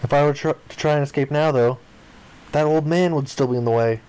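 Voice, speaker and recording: A man with a somewhat higher-pitched voice reads story text aloud from a visual novel. He dramatizes the dialogue, performing the lines as part of a game playthrough. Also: fairly quick, a little mellow, clean tone, rough recording. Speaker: gaming video player reading aloud.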